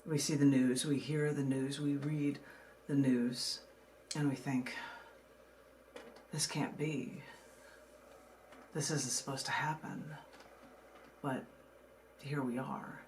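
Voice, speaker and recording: A woman's voice: rumbling low, steady tone, takes it slowly, pauses often, a little mellow, low-quality recording. Woman reading poetry over background noise. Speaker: poet reading own work. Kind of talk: poetry reading with casual asides.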